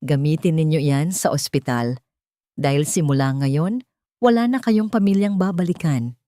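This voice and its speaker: A woman voicing a cold, vengeful billionaire wife in an audio drama story, icy, measured and controlled. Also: low voice, warm tone, no echo, studio quality, natural voice. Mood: quiet contempt.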